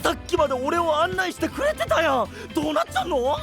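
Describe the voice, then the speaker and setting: Exuberant high-voiced boyish knight. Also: male voice, sing-song, fast talker, creaky, fairly bright tone, heavily acted. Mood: surprised. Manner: casual, exuberant boyish talk. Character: cheerful, eager young male knight, boyish and impulsive. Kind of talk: anime voice drama. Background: background music.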